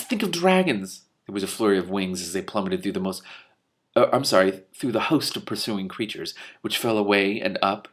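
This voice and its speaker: A man's voice: lowish voice, fairly bright tone. A man reading a fantasy novel aloud, breaking in with amused asides. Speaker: reader and commentator.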